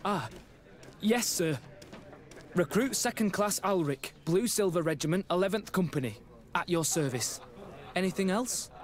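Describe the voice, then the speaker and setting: A jittery young soldier in a game, kinda high voice, stumbles over words, eager to please. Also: male voice, expressive, moderate pace, pauses often. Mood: nervous, deferential. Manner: hesitant, stammering, deferential. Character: nervous young male recruit soldier, deferential and eager. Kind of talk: video game cutscene acting.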